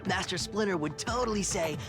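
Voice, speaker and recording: An actor playing a goofy, playful young mutant ninja turtle who jokes and teases in a cartoon game. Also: male voice, high-pitched, fairly quick, natural voice. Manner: joking, teasing. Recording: music under the voice.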